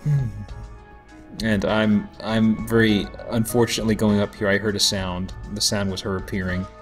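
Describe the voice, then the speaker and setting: A man giving casual, somewhat halting commentary as host of a gameplay video, with a self-deprecating manner. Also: lowish voice, little pitch movement. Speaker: let's play host. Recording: game music behind voice. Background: video game music.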